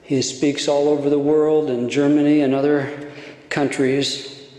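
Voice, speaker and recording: A man introducing a guest speaker formally, measured with some hesitations. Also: fairly high pitch, little pitch movement, dark tone. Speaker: event host. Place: large lecture hall. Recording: echoey lecture hall.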